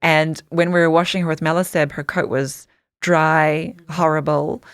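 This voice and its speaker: A woman from Samoa speaks as an interview guest, with a notably low voice. She tells her stories in earnest detail, often restarting her sentences. The sound is dry and close. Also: flat delivery, moderate pace, clear, resonant tone, dry recording. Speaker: podcast guest, dog skincare founder. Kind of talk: interview storytelling.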